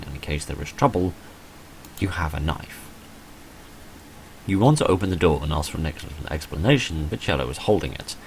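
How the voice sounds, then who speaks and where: A man with a very low voice narrates a visual novel as he plays it. His delivery is animated and moves widely in pitch, broken by dry, self-deprecating asides. There is faint noise behind him. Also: low-quality recording. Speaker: video creator playing and reading a visual novel. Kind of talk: let's play, reading game text aloud with off-script asides.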